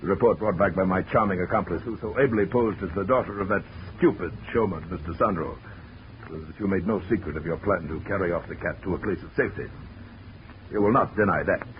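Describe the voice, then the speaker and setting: An actor playing a pompous, menacing big-game hunter villain in a 1940s radio drama. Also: male voice, sits low, quick pace, lightly acted. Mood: stern. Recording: muffled old radio recording with hiss.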